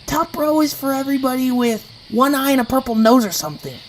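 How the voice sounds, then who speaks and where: An eager young flying cartoon creature, a timid little male, acted by a woman in an audio drama. The voice is high and swoops around animatedly. Clear ambient noise runs behind it. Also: expressive, brisk, low-quality recording. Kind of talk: audio drama character acting.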